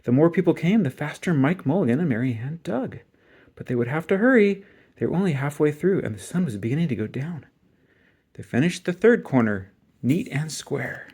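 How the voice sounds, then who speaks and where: A man reading a children's story aloud in an expressive, dramatic and emphatic way. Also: on the high side, a little fast, husky. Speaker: storybook reader.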